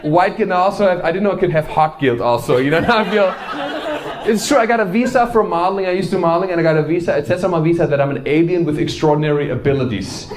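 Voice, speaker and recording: A man with a German accent works the crowd at a comedy club, brash, joking and swearing freely. His voice sits a little high. Loud audience laughter and chatter surround him. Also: fast. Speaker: stand-up comedian. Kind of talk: stand-up crowd work. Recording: crowd noise from a live comedy show.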